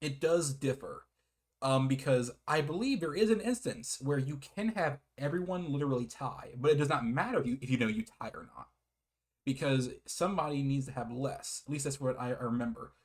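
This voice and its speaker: A man's voice: medium pitch, expressive, fairly quick. An American man giving a casual, rambling video game review, often correcting himself and apologizing as he shares his enthusiasm. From United States.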